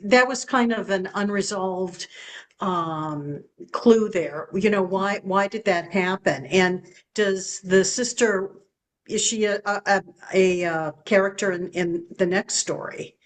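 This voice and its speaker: Unhurried woman, mid-pitched, halting as she works through a thought. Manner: hesitant, halting. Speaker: book club member. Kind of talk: casual group chat. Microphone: video call.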